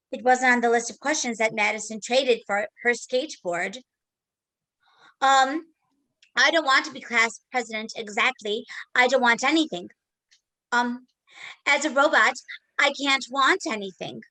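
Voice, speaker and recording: Woman acting a bright-voiced, stilted schoolgirl robot. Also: fairly high pitch, unhurried, pauses often, rough recording. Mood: earnest, stilted. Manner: stilted, literal, formal delivery. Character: literal-minded schoolgirl robot running for class president. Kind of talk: staged play reading.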